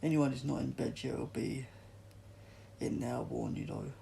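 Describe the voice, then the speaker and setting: A man's voice: mid-range voice, moderate pace, gravelly, dark tone, a little hiss or hum. A man reading the part of a cynical, streetwise young male athlete in a storybook, talking in a wary, colloquial way. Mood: warning.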